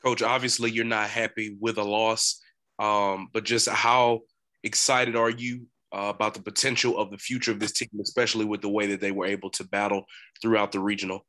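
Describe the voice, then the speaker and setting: A man's voice: on the low side, flat delivery, fairly quick, bright, forceful tone. A man working as a sports reporter, asking a coach a question at a press conference.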